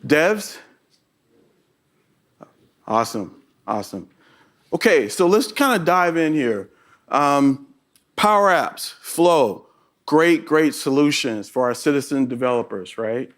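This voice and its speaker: A middle-aged man with a Texas accent giving a tech conference talk to a live audience. He keeps the room engaged, often tagging his points with a quick "right?". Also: fairly high pitch, expressive, fairly bright tone. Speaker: conference presenter.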